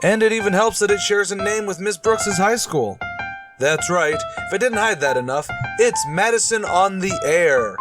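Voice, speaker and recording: A man's voice: sits high, expressive, moderate pace, over music. A man announcing as a podcast host in an upbeat, scripted style, chatty and enthusiastic.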